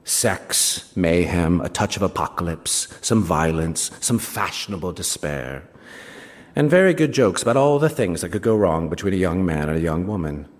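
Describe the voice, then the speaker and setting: Deep-voiced, wry British novelist in hall. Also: male voice, normal speed. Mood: wry, nostalgic. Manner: expressive, wry, savouring phrases with small asides. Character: wry male British novelist recounting a rivalry with his friend. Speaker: actor reading a short story aloud. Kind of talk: dramatic first-person literary reading before an audience. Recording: reverberant hall, stage reading.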